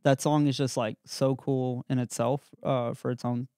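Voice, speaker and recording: A man's voice: medium pitch, flat delivery, normal speed, dark tone, dry recording, clean studio sound. A young man hosting a podcast in casual chat, easygoing and chummy. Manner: easygoing, chummy, says dude and bro. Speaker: podcast host.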